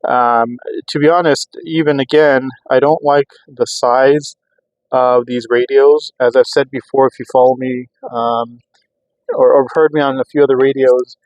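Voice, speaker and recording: Middle-aged man, flat conversational delivery. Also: mid-range voice, unhurried, no room sound, muffled recording. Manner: rambling, conversational, explanatory. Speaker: host of a radio hobby review channel. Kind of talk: casual unscripted product talk.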